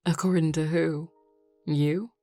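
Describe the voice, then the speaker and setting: A woman playing a flirty, teasing male werewolf with a Southern US accent and a playful, unhurried drawl in an audio roleplay. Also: low-pitched, fairly even intonation, dark tone, dry recording, faint music behind, exaggerated voice. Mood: sincere, flirty.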